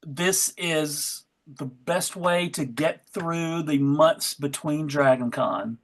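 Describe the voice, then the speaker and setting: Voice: mid-range voice, takes it slowly. A convention panel member and organizer chatting casually in a group of friends.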